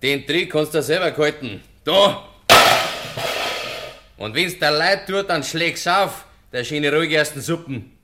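A man's voice: fairly high pitch, expressive, slow pace, bright, forceful tone, a little hiss or hum, full character voice. A man playing a sneering, bullying tramp in a radio drama, full of mockery. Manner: mocking, sneering. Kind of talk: German radio drama acting.

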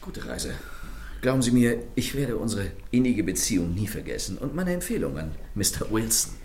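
An actor playing a suave, mocking French gentleman thief in a German radio crime comedy, theatrical and ironically polite. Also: on the low side, sing-song, normal speed, slight background noise. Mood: teasing.

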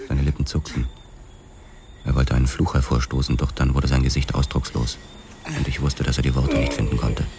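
A man's voice: very deep voice, little pitch movement, brisk, a loud crowd. An actor playing a weary, hard-boiled policeman who narrates his own past in a crime radio drama. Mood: grim, restrained. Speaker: first-person narrator. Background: music.

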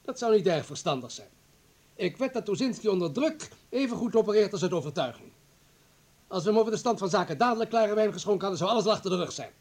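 A man's voice: high-pitched, lively intonation, quick pace, a little mellow, breathy edge. A man acting in a Dutch radio play as a pragmatic, calculating party comrade, urgent and persuasive. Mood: calculating argument.

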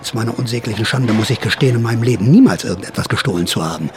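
A man's voice: fairly low pitch, very fast, background noise, close to an everyday voice. An actor playing a sly older counterculture veteran who narrates his memories in a radio drama, confiding, wry and theatrical. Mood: confessional, ironic.

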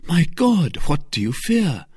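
Young storybook hothead, male reader, urgent exclamations, high-lifted voice that leaps around. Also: very animated, moderate pace, slightly rough, dry recording, clean studio sound. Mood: alarmed. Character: hot-headed, impulsive young male swordsman.

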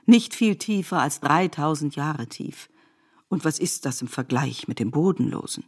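A husky, lowish female storyteller. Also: expressive, normal speed, darkish tone, heavily acted. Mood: knowing, reassuring. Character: grave, knowing female narrator who beckons listeners into myth. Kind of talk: literary radio drama narration.

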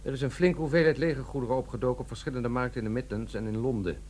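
An actor playing a suspicious, officious military police sergeant, clipped and formal, in a comic radio play. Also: male voice, mid-range voice, fairly even intonation, very fast, darkish tone, lightly acted. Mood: interrogating. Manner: clipped, formal, dryly distrustful. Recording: faintly noisy recording with hum.

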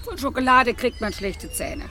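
A woman's voice: on the high side, expressive, normal speed, sharp tone. An actress playing a chatty, fussy, motherly caretaker in a radio play.

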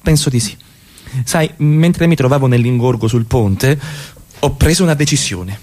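A man's voice: mid-range voice, moderate pace, a little mellow, lightly acted. A man in a radio play acting a proud, jealous middle-class husband, formal and sarcastic in his speech. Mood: stern reproach. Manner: formal, pompous wording with biting sarcasm.

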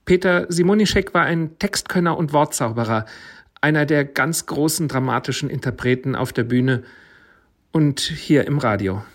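Creaky-voiced guy, a bit high, radio director doing a tribute. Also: animated, moderate pace. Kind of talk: spoken tribute.

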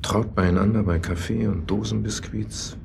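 An actor playing a bitter, agitated husband in a German radio play, confessing in a halting, brooding way and repeating his words. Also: lowish voice, fairly even intonation, vocal fry, noisy background.

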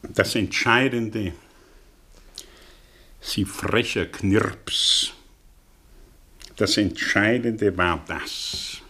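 An actor playing a proud, scornful intellectual professor in a radio play, searching for words with theatrical emphasis and a husky voice. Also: male voice, on the low side, very slow, long pauses, pushed and bright, exaggerated voice. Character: proud, scornful, brooding intellectual professor confessing an old obsession. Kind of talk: German radio play acting, 1960.

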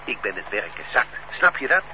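A man's voice: on the low side, big pitch swings, a little fast. An actor playing an idle older man, a former factory worker who grumbles and stammers out daydreams, in a radio play. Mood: weary. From Netherlands. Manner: grumbling, stammering repeats, dreamy. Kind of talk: radio drama acting. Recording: dull lo-fi radio play recording with faint hiss.

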